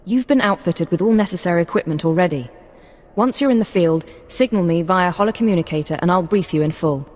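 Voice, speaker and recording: An actress voicing a composed, brisk Imperial intelligence analyst in a video game, speaking crisply and briefing in a businesslike way. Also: female voice, fairly low pitch, a little mellow, natural voice. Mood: efficient, reassuring. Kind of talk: scripted video game dialogue.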